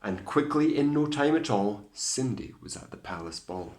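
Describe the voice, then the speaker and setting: A Scottish man reading aloud as a teacher to children, in an expressive, theatrical storytelling style with hushed asides. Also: mid-range voice, moderate pace.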